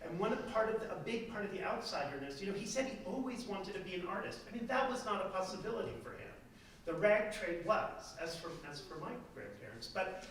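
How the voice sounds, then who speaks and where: Talkative professor in an echoey hall. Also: male voice, sits high, very animated, brisk, a bit bright, a little hiss or hum. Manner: rambling, self-interrupting, chatty. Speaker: art history professor, guest speaker. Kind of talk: casual talk answering an audience comment. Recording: echoey hall, speaker far from the mic.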